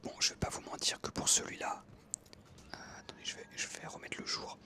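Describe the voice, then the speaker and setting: A young adult whispering breathily in a casual ASMR style, chatting informally and enthusiastically while narrating gameplay up close. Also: a little fast. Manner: informal, enthusiastic gamer talk.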